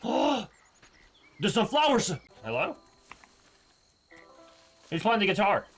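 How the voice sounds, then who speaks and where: A man's voice: sits high, big pitch swings, extremely slow, vocal fry, slightly rough, soft background music. A man giving casual live reactions as a gaming streamer, blunt, offhand and slangy.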